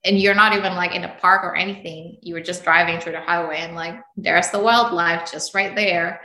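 A young adult woman from Indonesia, a podcast guest telling travel stories in an animated, laughing way. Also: fairly low pitch, fairly quick, roomy sound, poor audio. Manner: animated storytelling, frequent laughs. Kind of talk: casual interview chat.

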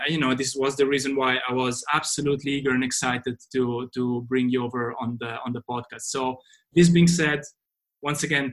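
A man hosting a podcast, introducing and questioning his guest with many repeated words and restarts. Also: medium pitch. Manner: stumbling repetitions, warm thanks. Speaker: podcast host. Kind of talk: casual interview hosting.

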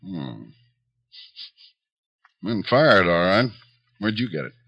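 A shrewd country police chief in a 1950s radio drama. He drawls folksy questions in a bright, forceful voice that rises and falls widely. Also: low-pitched, very animated, takes it slowly, highs cut off, exaggerated voice. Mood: wry, suspicious.

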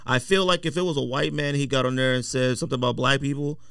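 A man's voice: mid-range voice, fairly even intonation, quick pace, vocal fry, a bit bright, clean tone, dry recording. Male podcast co-host, mid-range voice, dry studio sound, casual, insistent debater.

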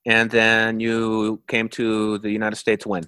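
A man from Canada hosting an interview, asking steady questions and giving brief affirmations. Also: fairly low pitch, slow, clear, resonant tone, no echo. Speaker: podcast host. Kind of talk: interviewing, casual.

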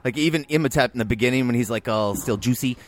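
A man's voice: medium pitch, fast, slight background noise. Joking man in casual podcast chat. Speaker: podcast co-host. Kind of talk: casual movie review chat.

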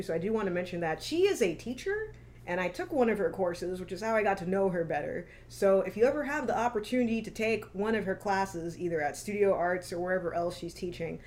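A woman from Texas hosts an interview podcast. Her voice is mid-pitched, and she talks with eager, friendly enthusiasm as she welcomes and questions her guest. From Texas, USA. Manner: warm and enthusiastic, upbeat introductions. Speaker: podcast host.

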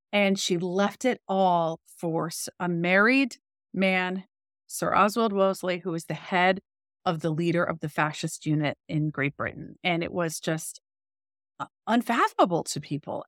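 A woman author being interviewed, explaining in a conversational, expressive, emphatic storytelling way. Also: lowish voice, dry recording, studio quality.

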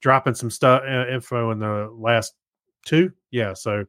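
A man co-hosting a podcast, chatting casually and haltingly as he thinks aloud. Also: medium pitch, fairly even intonation, a bit bright, clear, resonant tone, dry recording, clean studio sound. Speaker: podcast co-host. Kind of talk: casual chat.

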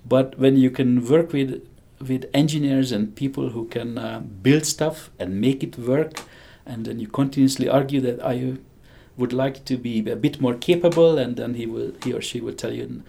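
Gravelly Hungarian-accented man in his sixties. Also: medium pitch, normal speed, few pauses, warm tone. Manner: enthusiastic, chuckles often, frequent restarts. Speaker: physicist interviewee. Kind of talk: conversational interview.

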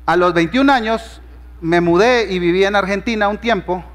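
A man's voice: high voice, moderate pace, long reverb tail. A man with a Honduran Spanish accent preaching a sermon as pastor, conversational and humorous, acting out bits of dialogue in his stories. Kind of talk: sermon with storytelling.

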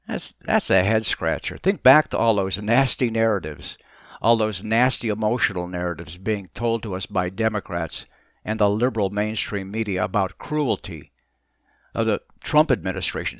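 A man's voice: lowish voice, no room sound, clean studio sound. A man hosting talk radio with sardonic, emphatic political commentary, repeating phrases for effect. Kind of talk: conservative political commentary monologue.

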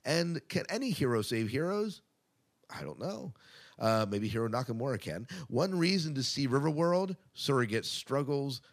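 A man's voice: mid-range voice, big pitch swings, normal speed. A man hosting a podcast in a chatty, casual way, part scripted and part off the cuff, with upbeat announcing. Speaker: podcast host.

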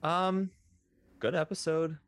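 A man co-hosting a casual podcast chat, talking in a measured, deliberate way. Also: on the high side, steady tone, a bit bright. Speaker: podcast co-host.

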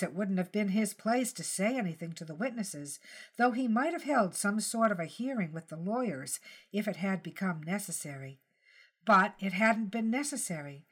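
A woman reading fiction aloud as a scripted short story narrator. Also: medium pitch.

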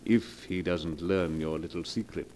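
An actor playing a smooth, mockingly polite blackmailer in a taunting 1940s radio melodrama style. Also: male voice, low voice, steady tone, a little slow, warm tone. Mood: smug, taunting. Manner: suave, taunting, mock-courteous. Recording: noisy vintage 1940s radio recording.

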